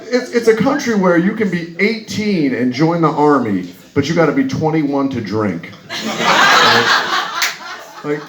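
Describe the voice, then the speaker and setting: A man's voice: fairly high pitch, lively intonation, unhurried. A man from the United States with an American accent performing live stand-up comedy in a conversational, wry manner. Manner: conversational, wry, punctuated by "you know". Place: live comedy venue in Munich. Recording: echoey live room with clear noise.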